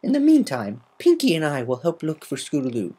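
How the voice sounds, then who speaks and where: A woman's voice: deep voice, wildly swooping pitch, mellow, slightly rough, pitched above the performer's own voice, full character voice. A woman voicing an elegant, composed female unicorn with a refined, caring manner in a story reading. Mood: gentle, composed. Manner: refined, polite, measured phrasing.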